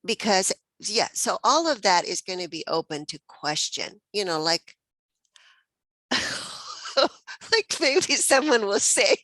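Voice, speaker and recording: A woman's voice: medium pitch, sing-song, slow, fairly bright tone, no room sound, low-quality recording. A woman from Mexico hosting a spiritual text study group, thinking aloud with many fillers and easy laughs. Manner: reflective, many fillers and restarts, laughs often.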